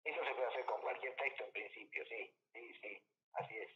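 An Argentine man teaching a logic lesson at a relaxed, patient pace, often dropping in short check-in questions. His voice sits in a middle range and comes through a dull, echoey call line. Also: on the low side, fairly quick. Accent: Rioplatense Spanish. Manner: patient, explanatory, checks understanding with tag questions. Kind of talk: tutoring lesson over a call.

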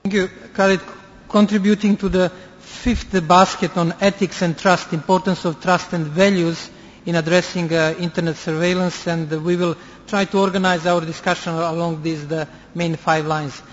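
A man's voice: high-pitched, flat delivery, normal speed, a little hiss or hum. A man chairing a conference session, formal and polite. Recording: echoey hall, dull lo-fi sound.

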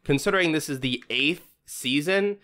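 A man's voice: fairly high pitch, normal speed, sharp tone. A young man narrating a scripted video review in a casual way with wry asides, close to a studio microphone. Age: young adult. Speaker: YouTube reviewer. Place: small home room, indoors. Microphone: close studio microphone on boom arm.

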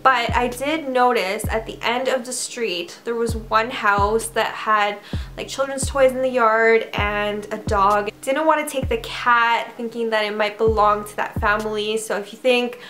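A young woman from Canada, her voice sitting a little high, chats straight to camera from her bedroom, peppering her story with "like" and "I don't know". Soft music plays faintly underneath. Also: steady tone, unhurried. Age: young adult. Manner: chatty, full of "like" and "I don't know". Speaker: vlogger. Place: bedroom, indoors.

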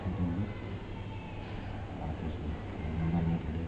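Deep-voiced man from Makassar, casual tour vlogger, pointing out exhibits; tinny, echoey recording. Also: level tone, moderate pace. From Makassar, Indonesia. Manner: pointing things out, many fillers. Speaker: vlogger touring a museum. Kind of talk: casual walking tour narration. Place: indoor museum halls of an old fort. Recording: tinny phone-like audio in echoey rooms with loud noise.

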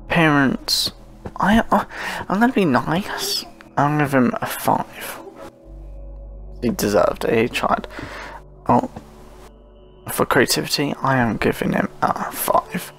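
Gamer chatting over gameplay, kinda slow n croaky, repeats little phrases, bit of music behind. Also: medium pitch, big pitch swings, fairly bright tone. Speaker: gaming video host. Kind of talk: casual game commentary with rambling asides.

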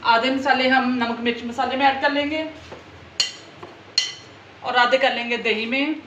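A woman gives calm, step-by-step cooking instructions in a fairly high but flat voice. Her home kitchen sounds roomy. The recording is muffled and has clear background noise. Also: unhurried. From Pakistan. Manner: calm, plain instructional delivery. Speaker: home cooking channel host.

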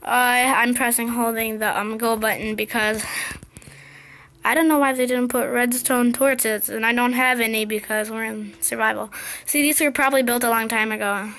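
A young girl commentating her gameplay in a casual, excited, chatty way, her voice slightly scratchy. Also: on the high side. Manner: excited, chatty, impatient exclamations. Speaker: gameplay video host.

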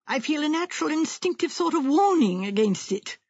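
A woman's voice: high voice, lively intonation, brisk, a little mellow, no room sound, highs cut off, pitched above the performer's own voice. A woman voicing a peevish, self-pitying, imperious wife with dramatic, complaining emphasis in an audiobook story. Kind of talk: audiobook character voice.

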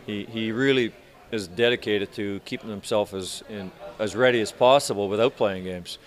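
An older man in his sixties, a hockey coach answering reporters after a game, speaking in a measured, halting way with many restarts. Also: fairly low pitch. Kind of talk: postgame press interview. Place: arena media room in front of sponsor backdrop.

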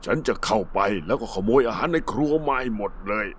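A man's voice: fairly low pitch, brisk, slight background noise, lightly acted. A man playing a sneaky, greedy big bad wolf in a children's cartoon, scheming and boastful. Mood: scheming, gloating.

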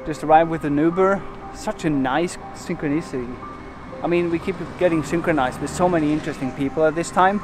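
A man in his forties vlogging casually to a handheld camera outdoors and in a van, relaxed and rambling with many fillers and restarts. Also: fairly high pitch, fairly quick, over music. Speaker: travel vlogger. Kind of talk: casual vlog narration to camera. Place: outdoors in a parking lot and inside a van. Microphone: handheld camera at arm's length.

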